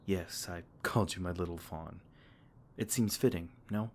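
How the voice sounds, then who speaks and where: A man voicing a gentle fae king, low-voiced. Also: normal speed, a little hiss or hum, lightly acted. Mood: tender, soothing. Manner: soft-spoken and tender, with stammers. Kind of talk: ASMR comfort roleplay.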